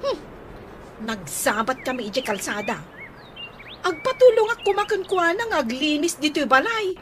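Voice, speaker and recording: A woman's voice: high-pitched, sing-song, normal speed, fairly bright tone. A woman playing a brazen, taunting village troublemaker in an Ilocano comedy radio drama, squealing and mocking with an Ilocano accent. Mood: defensive. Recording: noisy radio drama recording.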